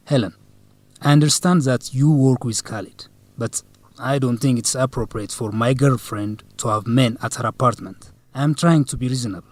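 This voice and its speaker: A man's voice: medium pitch, normal speed, close to an everyday voice. A man acting as a hurt, jealous young student boyfriend in a radio drama, earnest and reproachful. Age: young adult. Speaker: actor in an educational radio drama.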